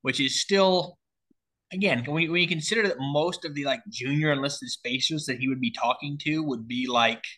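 A man's voice: fairly high pitch, normal speed, bright, forceful tone. A man in his late twenties explaining story ideas in casual talk, peppered with "like" and "you know". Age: late 20s. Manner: explanatory, frequent filler "like". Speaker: writer collaborating on a story. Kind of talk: casual creative discussion.

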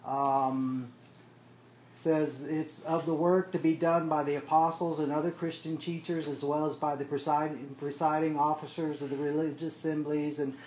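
Man, Bible study leader, fairly high voice, casual explainer, roomy faintly noisy recording. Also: little pitch movement, normal speed, a little mellow. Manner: explains step by step, checks in with "okay?" often. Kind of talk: casual teaching to a small group. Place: room with a small group. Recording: echoey room, faint background noise.

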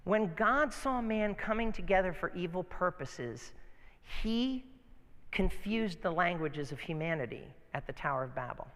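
An American man preaches in a high-pitched voice that rings through a large, echoing hall. He moves between reading scripture and telling stories in an informal, animated way, often putting rhetorical questions to his listeners. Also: unhurried. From northeastern United States. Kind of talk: conversational sermon with Bible readings and anecdotes. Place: large reverberant hall, live sermon. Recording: long hall reverb.

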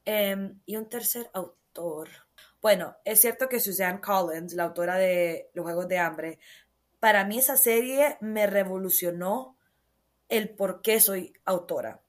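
A woman's voice: medium pitch, measured pace. Chatty young Honduran woman. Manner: animated, storytelling with many fillers. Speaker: guest author. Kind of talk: casual interview chat.

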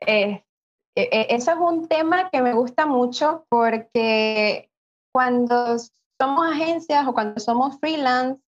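A woman's voice: high voice, slow. A woman with a Venezuelan accent speaking casually as a guest in an interview, often repeating words as she explains. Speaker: podcast guest, online marketer. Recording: dull, lo-fi online call audio.

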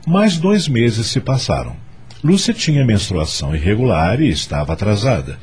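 A man's voice: on the low side, very animated, moderate pace, music in the background. A man narrating a radio soap opera in scripted storytelling style.

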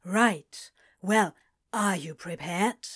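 Sly male serpent schemer, female actor, grandly formal with dry delivery, unhurried, for a fantasy audio drama. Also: mid-range voice, a bit bright, slightly rough, heavily acted. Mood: curt, dry. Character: cursed male serpent, sly, theatrical, privately curt schemer. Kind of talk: podfic audio drama acting.

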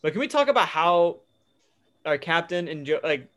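A man's voice: fairly high pitch, very animated, normal speed, a bit bright. A young man chatting casually with friends in a blunt, slangy, sweary way. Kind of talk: casual chat among friends.

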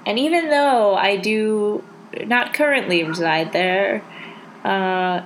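A woman's voice: medium pitch, expressive, slow, slight background noise, poor audio. A woman from South Dakota co-hosting an arts podcast, chatting casually with lots of fillers and easy laughs. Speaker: podcast co-host. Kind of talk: casual chat.

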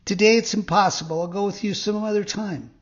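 A man voicing a naive, eager young wooden puppet boy in a storybook reading, earnest and excitable. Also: high voice, fairly quick, dull audio, pitched above the performer's own voice, close to an everyday voice. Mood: trusting, naive.

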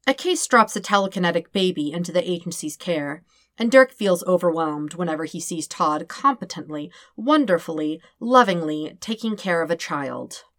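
A woman reading fan fiction aloud as an expressive narrator. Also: fairly low pitch, moderate pace, dry recording, studio quality. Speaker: podfic reader.

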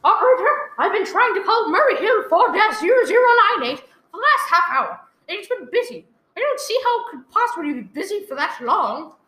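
Frantic, imperious housewife for radio drama, played by a woman; very high, sharply swooping voice, echoey room. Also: big pitch swings, fairly quick, hardly any pauses, sharp tone, exaggerated voice. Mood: fretful. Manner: fretful, demanding, melodramatic. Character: nervous, imperious invalid wife, shrill and frantic. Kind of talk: radio play acting. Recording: echoey live room recording.

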